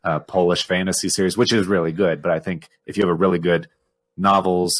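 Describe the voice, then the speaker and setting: Deep-voiced man chatting on a podcast. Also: level tone, brisk. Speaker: podcast co-host. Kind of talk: casual analytical podcast discussion.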